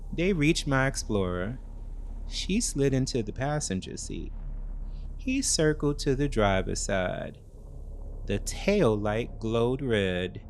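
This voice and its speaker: Slow, expressive male thriller narrator. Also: mid-range voice, a little hiss or hum. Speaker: storyteller. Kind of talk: dramatic scripted first-person thriller narration.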